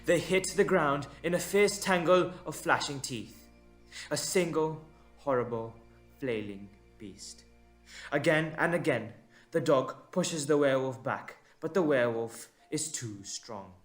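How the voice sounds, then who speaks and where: A man narrating a scripted audio drama in a measured, suspenseful manner, with deliberate dramatic pauses. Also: fairly high pitch, soft background music.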